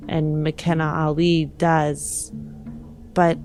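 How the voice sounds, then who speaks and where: A woman playing an earnest, protective technomancer in a science fiction tabletop game, speaking with measured, hesitant pauses. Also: low-pitched, flat delivery, a little mellow, faint music behind, exaggerated voice. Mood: pleading. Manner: measured, hesitant pauses, pleading yet firm.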